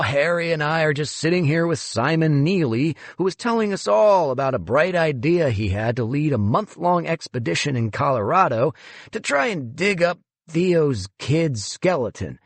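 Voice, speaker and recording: A man's voice: on the high side, dry recording, pitched above the performer's own voice. A man voicing a smug, pushy male museum executive who talks in a breezy, condescending way.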